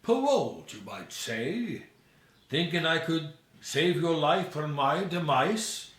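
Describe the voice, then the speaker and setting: A man's voice: on the high side, sing-song, takes it slowly, fairly bright tone, echoey room, distant mic, heavily acted. A man voicing a redeemed, hopeful ghost in a storybook reading, speaking in grand, solemn, old-fashioned phrases. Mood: solemn, grateful.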